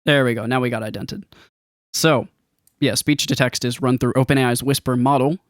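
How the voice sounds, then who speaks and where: A man's voice: mid-range voice, no echo, studio quality. A man narrating a game demo in an informal tutorial style, rambling a little as he thinks aloud. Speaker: game developer demonstrating own game. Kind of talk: casual screen-recorded software demo and tutorial commentary.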